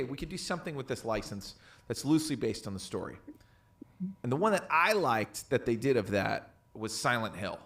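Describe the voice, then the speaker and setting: An expressive man chatting on a podcast as a co-host. His pitch moves around a lot as he delivers emphatic, opinionated takes in casual, sweary language. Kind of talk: casual chat, pop culture opinions.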